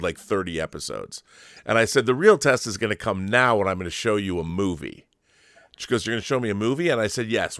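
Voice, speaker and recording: A man's voice: fairly low pitch, sharp tone, no echo, studio quality. A man chatting casually and enthusiastically as an interviewer, earnest and emphatic, often repeating words as he restarts his sentences.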